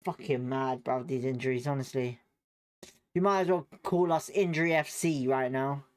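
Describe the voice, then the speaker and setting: A British man hosting a football watchalong as a fan. He calls the play in an informal running style, speaking bluntly with plenty of slang and swearing, in a mid-pitched voice. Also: normal speed, smooth. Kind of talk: live match commentary, informal fan reactions.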